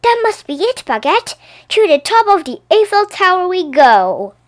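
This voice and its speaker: Woman playing an excitable, high-pitched little girl. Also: normal speed. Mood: excited. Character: excitable, curious, affectionate little Parisian girl.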